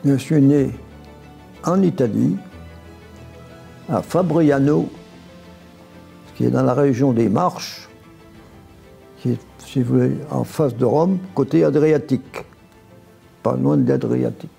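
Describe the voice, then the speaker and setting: A man in his nineties recounting his life in an interview, unhurried, often restarting and correcting himself. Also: medium pitch, vocal fry, mellow, soft background music. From France, grew up near Évian after early childhood in Italy. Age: 90s. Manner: unhurried storytelling with frequent restarts and self-corrections. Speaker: veteran interviewee. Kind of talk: oral history interview, recounting memories.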